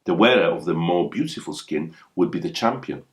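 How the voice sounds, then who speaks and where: A man's voice: sits low, expressive, brisk. A man reading a folktale aloud as a scripted storyteller.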